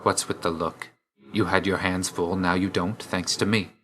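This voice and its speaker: An actor playing an aloof, weary young god of death in a video game, speaking in a restrained, clipped and solemn way. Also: male voice, low-pitched, steady tone, normal speed, a bit bright. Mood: reproachful, subdued.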